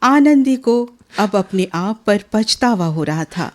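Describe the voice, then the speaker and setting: A woman narrating a radio drama as a scripted story, in a measured and expressive way. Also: medium pitch.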